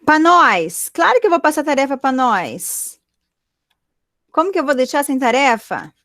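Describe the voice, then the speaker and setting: A woman's voice: high voice, sing-song, a little slow, a bit bright, dry recording. A woman teaching a grammar lesson in an online class, explaining patiently and checking in with her students often. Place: home, online video class. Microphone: computer mic on a video call.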